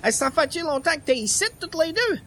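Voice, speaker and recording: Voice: high-pitched, lively intonation, a little fast, a bit bright, a little hiss or hum. An actor voicing a friendly, slangy repairman who mixes English into Quebec French, in an old-time radio comedy. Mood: friendly, casual. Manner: colloquial, franglais, slangy.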